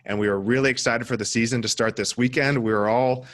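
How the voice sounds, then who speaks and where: A man's voice: medium pitch, steady tone, fast, a bit bright. Upbeat man hosting a podcast. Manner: upbeat and enthusiastic, short backchannels. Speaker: podcast host.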